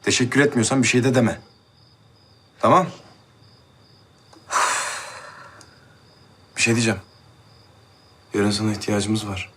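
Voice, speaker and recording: Casting: stubborn young man, low voice, brusque and defensive, naturalistic drama, insects chirping in noisy air. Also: slow, pauses often, fairly bright tone. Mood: wounded, gruff. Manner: curt, colloquial, dismissive.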